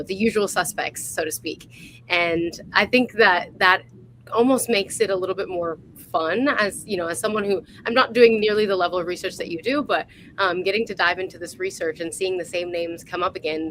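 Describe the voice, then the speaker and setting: A woman's voice: lowish voice, fairly bright tone, slight background noise, low-quality recording. A woman hosting a casual interview, chatting in an informal, appreciative way. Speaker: host.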